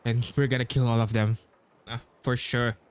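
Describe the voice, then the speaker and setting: A man giving casual playthrough commentary, musing and halting, with slangy reactions. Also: medium pitch, little pitch movement, smooth. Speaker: gamer playing and reacting. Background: game music.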